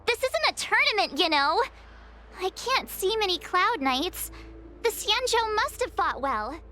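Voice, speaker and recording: A woman's voice: very high voice, very animated, unhurried, pushed and bright, a little hiss or hum, exaggerated voice. A woman voicing a small, chatty young girl adventurer in an anime game, with a cute, blunt way of talking. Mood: indignant. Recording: music behind the voice.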